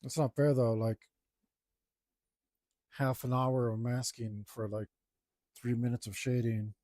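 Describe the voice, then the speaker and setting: A man talking casually to himself as he works on a digital drawing, mumbling in a relaxed way. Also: mid-range voice, unhurried, dry recording, clean studio sound. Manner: mumbling to himself, laid-back. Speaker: digital artist. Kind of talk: casual talk while drawing.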